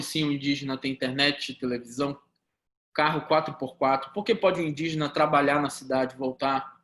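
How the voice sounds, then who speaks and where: A man from Pará with a Paraense accent, lecturing on law to students with rhetorical questions and lively asides. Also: on the high side, roomy sound, a little hiss or hum. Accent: Paraense Brazilian Portuguese. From Pará, Brazil. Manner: animated, talks to students directly, rhetorical questions. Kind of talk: recorded law lesson.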